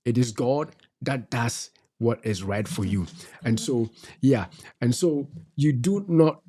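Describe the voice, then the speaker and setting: A middle-aged man cohosting a talk show, his voice mellow and dark in tone. He preaches at his listeners, hammering home each point by saying it again. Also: mid-range voice, slow pace. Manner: preachy, exhorting, repeats key phrases. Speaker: co-host. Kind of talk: faith-based advice talk.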